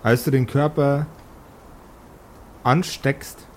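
A male game master narrates an improvised fantasy game. His voice sits at a medium pitch and moves slowly, with deliberate pauses between phrases. The recording carries a little noise. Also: steady tone.

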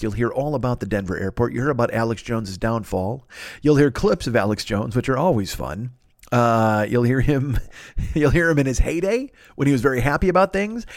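A man's voice: medium pitch, no room sound. Guy doing a podcast solo, talks in circles, swears a lot, kinda self-deprecating, dry close sound. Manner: loud-mouthed, talkative, digressive. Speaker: podcast host. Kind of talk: rambling comic monologue with sponsor plugs.

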